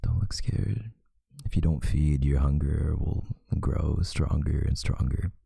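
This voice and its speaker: A man playing a tender, protective vampire lover in an intimate ASMR roleplay, speaking in a husky, hushed voice with deliberate pauses. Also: no echo, studio quality, full character voice. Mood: soothing, patient. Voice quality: very deep, gravelly, husky, breathy, often near a whisper.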